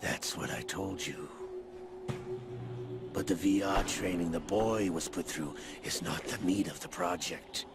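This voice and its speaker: An actor playing a sly older schemer in a video game cutscene, gravelly, smug and condescending. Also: male voice, sits low, a little slow, background noise. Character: sly older male schemer, smugly condescending puppet master. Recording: music behind the dialogue.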